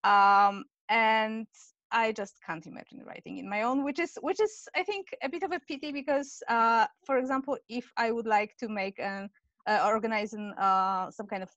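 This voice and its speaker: A woman talks haltingly on a video call, stopping often on fillers and restarting her sentences. She speaks through a headset, and the sound is dull and dry, with little room in it. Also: on the high side, fairly bright tone, no room sound. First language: Polish. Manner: hesitant, many fillers and restarts. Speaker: author panelist. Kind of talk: casual panel chat.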